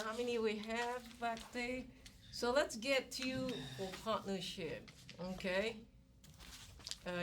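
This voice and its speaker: Woman from malaysia-singapore way lecturing econ, chatty, picked up from across the room w/ faint hiss. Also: medium pitch. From Malaysia or Singapore area. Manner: chatty, acts out little scenes with exclamations. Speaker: economics lecturer. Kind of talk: classroom lecture. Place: university classroom. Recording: distant classroom recording with faint noise.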